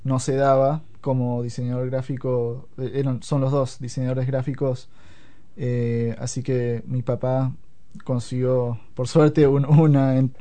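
An eighteen-year-old man from Buenos Aires, speaking with an Argentine accent and giving modest, measured answers as a radio interview guest. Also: mid-range voice, level tone, dark tone. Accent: Buenos Aires Argentine Spanish.

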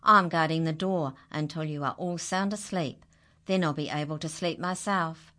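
A woman voicing a grumpy farmer who shouts at his animals, bossy and loud in a storybook reading. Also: deep voice, fairly even intonation, moderate pace. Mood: stern.

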